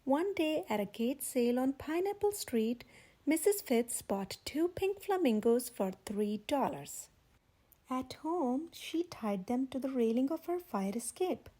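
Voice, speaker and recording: High-pitched, mellow woman reading a children's story. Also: very animated, unhurried. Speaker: children's story channel host and reader. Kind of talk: scripted read-aloud narration of a picture book.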